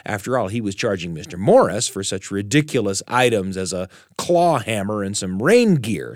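A man's voice: medium pitch, dry recording, studio quality. A man hosting a show, narrating a story in a dramatic manner with rhetorical questions. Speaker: host.

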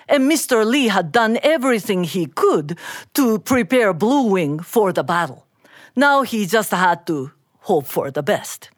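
A woman telling a folktale live, in an expressive, deliberate and dramatic manner. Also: medium pitch, no echo, clean studio sound. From Japan. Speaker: storyteller. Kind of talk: live oral storytelling of a folktale.

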